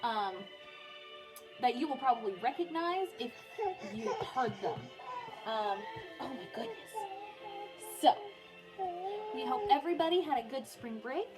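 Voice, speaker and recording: A woman's voice: high-pitched, very animated, measured pace, long pauses, low-quality recording. A young adult woman, a music teacher, speaking informally as she reads and talks to her pupils. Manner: informal, often breaking off to soothe a small child. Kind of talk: reading a biography aloud for pupils, with casual asides.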